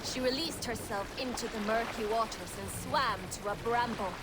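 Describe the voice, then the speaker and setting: A woman's voice: a little high, normal speed, natural voice. An actress playing a fierce Viking woman who tells a tale with dramatic reverence in a game. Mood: reverent, fierce. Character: fierce, admiring female Viking storyteller. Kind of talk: dramatic oral storytelling. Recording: loud water and boat noise behind. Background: boat on water.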